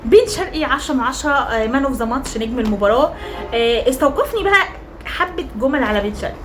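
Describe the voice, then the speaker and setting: A young woman with an Egyptian Arabic accent, hoarse from cheering, rating football players in a chatty, teasing, emphatic monologue. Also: high-pitched, lively intonation, quick pace, a bit bright, soft background music. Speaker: football fan vlogger.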